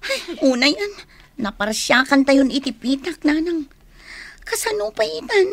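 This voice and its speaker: A Filipino woman acting in a radio drama as an excitable, complaining young village woman. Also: high-pitched, unhurried, dry recording, heavily acted. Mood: alarmed, dismayed.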